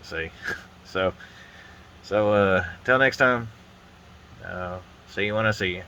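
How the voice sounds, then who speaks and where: Casual hobby vlogger, low-voiced man, congested and a bit nasal, meandering delivery recorded on a phone. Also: takes it slowly, muffled recording, noisy background. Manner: rambling, relaxed, frequent uh and restarts. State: stuffy nose from a sinus infection. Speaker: model-building vlogger. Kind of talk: casual hands-on build commentary. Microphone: phone on a holder arm.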